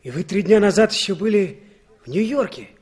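An actor playing an eager, credulous youngster in a 1960s radio drama. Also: male voice, high voice, expressive, fairly quick, a little mellow. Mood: eager wonder. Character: eager, credulous youngster, excited by war tales.

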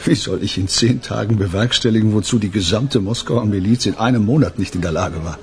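An actor playing a gruff, wary boss with shady connections, curt and sarcastic, in a German radio crime drama. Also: male voice, fairly low pitch, brisk, natural voice.

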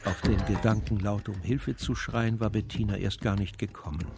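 Crime drama narrator, serious, gravelly, lowish, music underneath. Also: male voice, fairly even intonation. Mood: grave, suspenseful. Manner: measured, serious storytelling. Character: sober male narrator of a suspenseful crime story.

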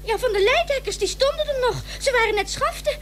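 A little neighbour girl speaks in a tiny, very high voice. She is halting and childlike, anxious to get her news out. It is old radio drama with light background hiss. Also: lively intonation, a bit bright. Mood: excited, anxious. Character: breathless young neighbour girl bringing alarming news. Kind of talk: Dutch radio play acting. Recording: hissy old radio play recording.

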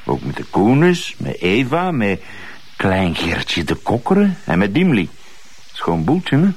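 Slippery Flemish crooked investigator, deepish and animated, needling and smug, television crime drama. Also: male voice, slightly rough, heavily acted. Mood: taunting. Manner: smug, taunting, drawn-out phrases. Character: sly, scheming, money-grubbing male investigator.

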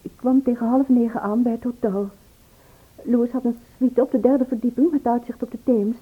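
A woman's voice: on the high side, steady tone, normal speed, husky, narrow, tinny audio. An actress playing a nervous, evasive woman, hesitant and defensive, in an old radio play recording. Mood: nervous confession. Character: Yves, nervous, evasive woman confessing reluctantly.

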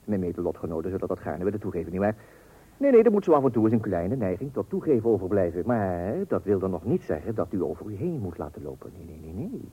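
A man's voice: sits low, very animated, fast talker, mellow, breathy edge, heavily acted. An actor playing a pompous, thrifty father who makes speeches in an old radio comedy, with a Netherlands Dutch accent. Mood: pompous, self-satisfied. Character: pompous, thrifty, self-important husband and father. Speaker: actor playing the narrator of a family comedy serial. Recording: muffled old radio recording.

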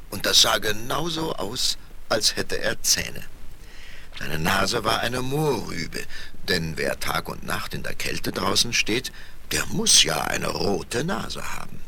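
A man's voice: low voice, gravelly, bright, forceful tone, a little hiss or hum. A man narrating scripted fairy tales for children in a dramatic storytelling style.

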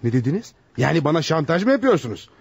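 An actor playing a flustered, indignant psychologist trapped by blackmail, stammering out protests in a radio theater style. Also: male voice, a little high, sing-song, fast talker, vocal fry, darkish tone, slightly rough, dry recording, exaggerated voice. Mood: alarmed indignation. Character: panicked, outraged male psychologist caught in blackmail trap. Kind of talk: Turkish radio theater acting.

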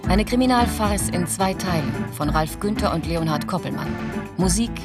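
Woman, title announcer, scripted radio style, lower register, loud music bed. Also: lively intonation, moderate pace.

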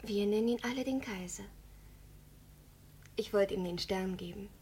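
An actress playing an earnest, loyal village child in a German radio play, speaking in a hushed, reverent, dreamy way. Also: female voice, medium pitch, moderate pace, slight background noise, close to an everyday voice.

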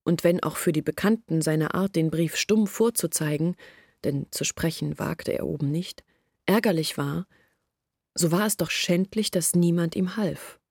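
A woman's voice: on the low side, unhurried, a little mellow, breathy edge, dry recording, clean studio sound. An actress playing a young village woman who earnestly confides her family's troubles in a radio play. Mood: earnest, confiding. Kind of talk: German radio play monologue.

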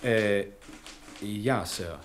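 A respectful bank clerk in a seventies radio drama. He speaks politely in a lowish voice, with slight noise on the recording. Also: very slow. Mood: deferential, reassuring. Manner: deferential, polite. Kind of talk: 1970s German radio play acting. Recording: slightly noisy old recording.

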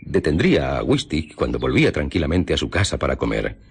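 Very deep, husky police investigator. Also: male voice, big pitch swings, fairly quick, heavily acted. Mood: grave, measured. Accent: Spain. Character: grave male police investigator recounting a murder. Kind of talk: Spanish radio drama acting.

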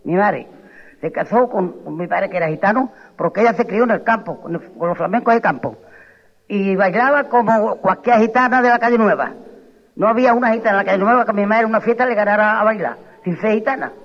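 A woman's voice: mid-range voice, fast talker. A woman, a flamenco singer telling her story in an old radio interview, repeating her points insistently. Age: 60s. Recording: dull old radio tape with reverb.

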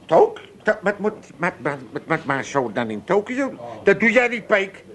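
A man's voice: high-pitched, sing-song, moderate pace, a bit bright. An actor playing a querulous, wheedling old father in a comic Dutch radio play, stammering and repeating himself with an Amsterdam accent. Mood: flustered, indignant. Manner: stammering, repetitive, theatrical.

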